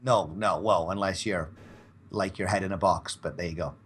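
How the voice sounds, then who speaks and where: A man's voice: deep voice, normal speed, breathy edge. A man from Scotland, chatting casually as a podcast guest in a bantering, rambling way. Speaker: podcast guest, audio drama actor. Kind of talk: casual chat.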